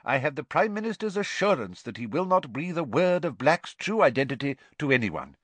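A man's voice: a little high, sing-song, a little fast, dry recording, pitched above the performer's own voice. Man doing a pompous, flustered official. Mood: irritable. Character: pompous, irritable middle-aged male official.

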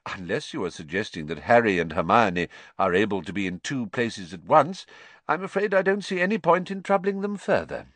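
A man plays an old storybook headmaster, unruffled and faintly amused. He speaks quietly and deliberately, with measured weight on his words. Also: lowish voice, sing-song, no echo. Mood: calm amusement.

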